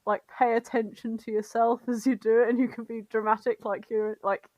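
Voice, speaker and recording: Higher-pitched, giggly woman co-host, close dry sound. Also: flat delivery, brisk, darkish tone, dry recording, muffled recording. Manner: giggly, sweary, self-deprecating. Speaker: podcast co-host. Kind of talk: casual comic chat, recapping fan fiction.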